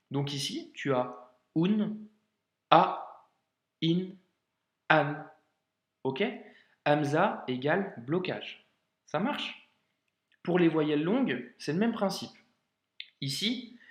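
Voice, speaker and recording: French male tutor, slightly high-pitched, relaxed and encouraging, recorded with room echo. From France. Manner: casual, reassuring, frequent "hein" and "d'accord". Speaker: Arabic reading teacher. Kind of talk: friendly tutorial speaking directly to the learner.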